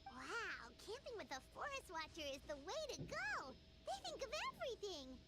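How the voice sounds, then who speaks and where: A woman voicing a tiny, excitable childlike fairy companion in a video game, bubbly and exclamatory. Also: very high-pitched, moderate pace, over loud music, full character voice. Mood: bubbly, excited.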